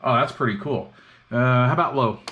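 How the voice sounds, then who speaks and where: A man's voice: mid-range voice, normal speed, phone-quality sound. A man talks casually and slangily, thinking aloud as he demonstrates gear at a workbench. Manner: laid-back, slangy, muttering to himself. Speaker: hobbyist vlogger demonstrating radio repair. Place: indoor radio workbench. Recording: clearly noisy workbench recording with clicks.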